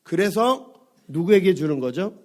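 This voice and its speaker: A middle-aged man, hoarse from a cold, preaching a Sunday sermon as a pastor, conversational and full of fillers, asking his congregation questions. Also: sits high, expressive, unhurried. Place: church sanctuary.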